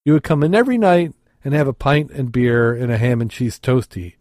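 A man's voice: mid-range voice, lively intonation, brisk, darkish tone, no room sound, studio quality, lightly acted. A man voicing a chatty male pub barman in a joke he reads aloud on a podcast. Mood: eager.

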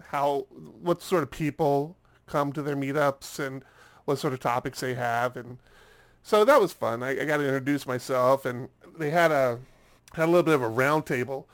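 A man co-hosting a podcast in casual chat, still congested from a cold and rambling, repeating words as he restarts. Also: on the high side. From United States. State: congested, getting over a cold. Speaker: podcast co-host.

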